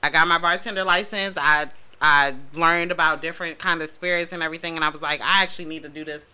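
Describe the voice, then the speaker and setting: Woman, 30s, tipsy podcast guest; low, very bright voice, easygoing storyteller. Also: fairly even intonation, fast, clear, resonant tone. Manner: laid-back, laughing, rambling storyteller. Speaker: podcast guest, mobile bartender. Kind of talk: casual chat.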